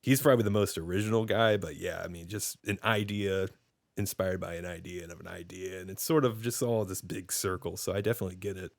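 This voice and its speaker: A man hosting a podcast interview over a video call, asking rambling, halting questions full of restarts. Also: low voice, fairly even intonation, creaky, dry recording, clean studio sound. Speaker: podcast host. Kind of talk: casual interview chat.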